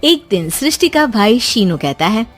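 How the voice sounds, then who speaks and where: A woman narrating a dramatic animated story in a scripted, expressive way. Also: a little high, normal speed, faint music behind.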